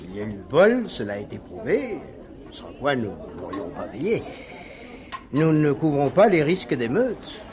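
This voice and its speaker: A man's voice: mid-range voice, sing-song, a little slow, crowd noise. A man acting a persistent, formal insurance investigator in a French stage play, precise and insistent. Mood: matter-of-fact, firm. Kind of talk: French theatre dialogue acting.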